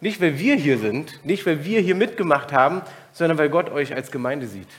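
A man's voice: on the high side, animated, moderate pace, noisy background. A man in his thirties from Germany, speaking informally to a church congregation as a guest preacher, with frequent filler sounds.

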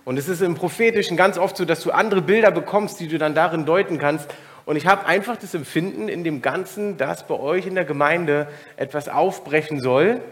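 A man's voice: fairly high pitch, brisk. German man preaching casually to a congregation. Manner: informal and personal, many filler sounds. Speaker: guest preacher. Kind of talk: church talk to a congregation.

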